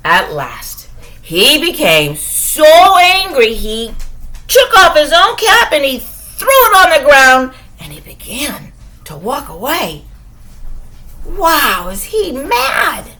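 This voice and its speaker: A woman tells a story to small children in a high, very lively voice. She takes her time, pausing for drama and putting questions to her young listeners. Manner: expressive, asks listeners questions, dramatic pauses. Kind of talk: animated read-aloud bedtime story for young children.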